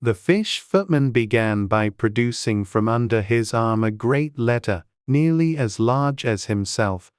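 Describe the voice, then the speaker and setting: An audiobook narrator reading a children's classic in a measured, precise storytelling style. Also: medium pitch, lively intonation, clear, resonant tone, no room sound, clean studio sound.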